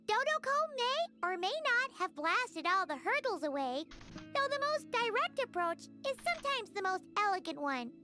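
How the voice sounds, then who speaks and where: A woman voicing a tiny, chatty, excitable childlike fairy companion in an animated video game. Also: very high-pitched, full character voice. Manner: exclamatory, speaks of herself by name.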